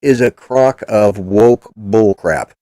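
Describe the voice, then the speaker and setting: A man's voice: on the low side, slow, dark tone, dry recording, studio quality. An older man hosting a casual political chat show, talking in a blunt, rambling, opinionated way. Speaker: podcast host.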